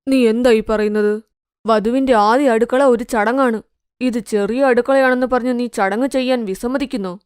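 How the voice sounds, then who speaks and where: A woman voicing an elderly, considerate and gently firm mother-in-law in a cartoon moral story. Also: on the high side, fairly even intonation, normal speed, clear, resonant tone, no room sound, studio quality, voice pushed down, lightly acted. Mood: indignant.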